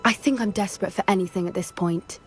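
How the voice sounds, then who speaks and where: A woman's voice: mid-range voice, fast, natural voice. A woman voicing an earnest, anxious teenage witch in a video game, polite and a little hesitant. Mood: curious, thoughtful. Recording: music behind the voice. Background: music.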